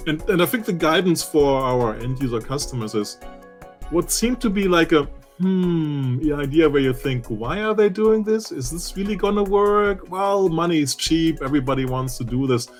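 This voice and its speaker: A man's voice: a little high, expressive, moderate pace, faint music behind. A man co-hosting a tech show as an analyst, giving emphatic, opinionated predictions. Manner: opinionated, emphatic with repeated words. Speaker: co-host analyst. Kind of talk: casual talk-show chat.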